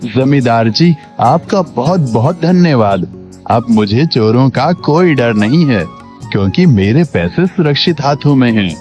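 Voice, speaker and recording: A man's voice: medium pitch, expressive, a little slow, a little mellow, natural voice. A man playing an honest, humble village servant in a cartoon moral story, polite, earnest and deferential. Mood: humble, trusting. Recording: dull lo-fi audio with background music.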